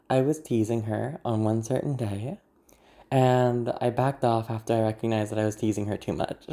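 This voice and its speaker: A speaker with a naturally gravelly voice that slips into a soft growl as words are held, set in a dark, mellow tone. This ASMR creator talks straight to viewers in a candid, rambling, self-deprecating way, like a casual personal update. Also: medium pitch.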